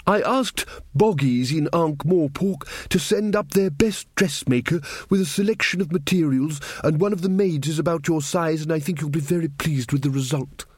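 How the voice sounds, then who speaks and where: A pedantic, self-satisfied young king who stumbles over his words while explaining everything, put on by a male reader. He sits a little higher than a plain voice. The recording is dry and close. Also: moderate pace, few pauses, breathy edge, no room sound. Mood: earnest, fussy. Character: fussy earnest young male king, stammering.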